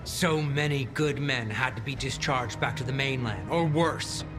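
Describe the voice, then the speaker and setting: A man playing a gruff, blunt, foul-mouthed military commander in a video game cutscene. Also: medium pitch, measured pace, music in the background, close to an everyday voice. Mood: angry, vengeful.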